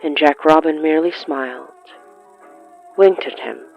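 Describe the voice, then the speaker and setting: A narrator telling a scripted literary horror story in a measured way, with dramatic pauses between short phrases. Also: mid-range voice, vocal fry, muffled recording, faint music behind. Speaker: narrator of a horror fiction podcast.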